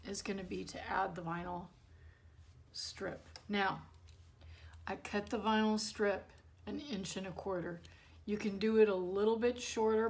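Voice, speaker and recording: Hoarse woman demonstrating sewing steps. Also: medium pitch, darkish tone. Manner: chatty, talks to viewers while working, self-correcting. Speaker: bag-sewing tutorial host. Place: home sewing studio by a window.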